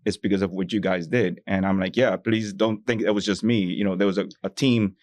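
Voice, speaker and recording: A man from Miami talks warmly about his community work in a podcast interview. His voice sits a bit low and he strings sentences together with easy fillers. The recording is dry with no room sound. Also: fairly even intonation, fairly quick, no echo. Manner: conversational, many fillers like "you know". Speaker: bank employee.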